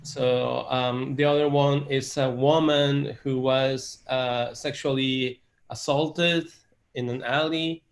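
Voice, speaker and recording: Halting man, searching for words. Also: mid-range voice, steady tone. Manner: hesitant, many fillers, searching for words. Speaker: composer, guest. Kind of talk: casual interview talk.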